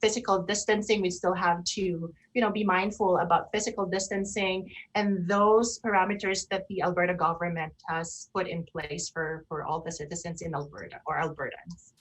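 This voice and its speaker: A woman's voice: lowish voice, fairly even intonation, normal speed, poor audio. A woman with a Filipino accent co-hosting a chatty online radio interview, choosing careful, rather formal wording. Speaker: co-host. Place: remote from Calgary.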